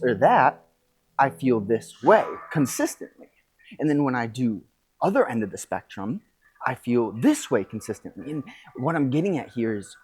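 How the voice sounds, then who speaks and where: A man hosting a podcast solo, talking reflectively and unscripted, thinking aloud in a halting way and correcting himself. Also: mid-range voice, a little mellow, a little hiss or hum. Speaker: podcast host.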